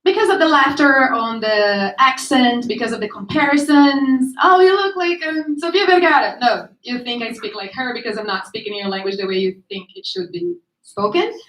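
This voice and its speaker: A woman's voice: sits high, animated, moderate pace, a bit bright. A woman who is both an actor and a writer speaking candidly and earnestly on a panel, self-conscious about her speech. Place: event room at a literary gathering. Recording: echoey room.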